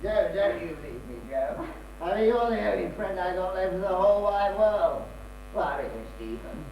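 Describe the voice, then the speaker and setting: A man's voice: high-pitched, expressive, moderate pace, vocal fry, a little mellow. A man with a British accent acting a rambling, self-pitying drunken man in an amateur stage comedy. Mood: maudlin, confiding. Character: maudlin, rambling drunken man abandoned by his partner. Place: small theatre stage. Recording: echoey, distant stage recording with hum.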